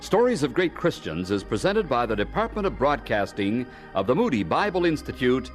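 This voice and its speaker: Male announcer, scripted radio narration, lively intonation, mid pitch, soft music behind. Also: moderate pace.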